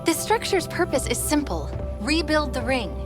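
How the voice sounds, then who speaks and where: High, lively AI companion. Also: female voice. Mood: bright, curious. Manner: playful, quick-witted, expressive. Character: chirpy, curious young female AI companion. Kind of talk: cinematic video game acting. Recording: music behind the voice.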